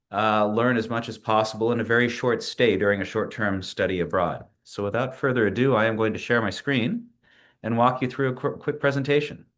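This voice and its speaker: A man in his late forties presents his project over a shared screen at a mid pitch. He talks in a measured way, pausing often with filler sounds and restarting words, like a student presenting to a seminar. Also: fairly bright tone. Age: 40s.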